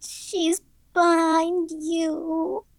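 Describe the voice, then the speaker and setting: A woman plays a small, frightened girl in a spooky story. The voice is high and childlike, drawn out slowly with a creaky edge, as she speaks of things others cannot see. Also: dry recording, exaggerated voice. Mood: terrified. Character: frightened six-year-old girl who sees a ghost.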